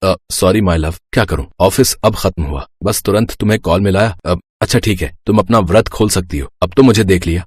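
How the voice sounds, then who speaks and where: A man's voice: sits low, fairly quick, few pauses, a little mellow, breathy edge. A man playing an affectionate, casual young man in a family story. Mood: apologetic. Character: young man, affectionate apologetic boyfriend or brother.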